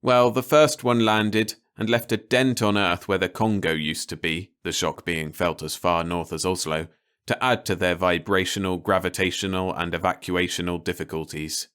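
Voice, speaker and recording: A man reading a story aloud as an audiobook narrator, in a measured, wry storytelling manner. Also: deep voice, fairly even intonation, a bit bright, smooth. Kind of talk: scripted story narration, read-along audiobook.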